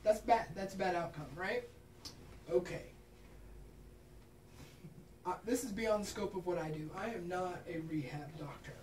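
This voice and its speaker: A woman's voice: fairly low pitch, moderate pace, long pauses, a little mellow. Woman doctor lecturing in an echoey room. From Kansas. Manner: frequent tag questions, laughs at herself. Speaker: pain management doctor. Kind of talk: informal talk to clinicians. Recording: roomy echo, distant mic, noticeable background noise.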